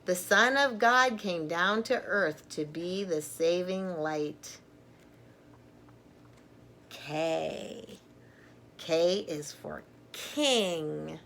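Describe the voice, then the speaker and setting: A middle-aged woman reading a picture book aloud to children, gently and deliberately, with chatty asides. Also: on the low side, slight background noise.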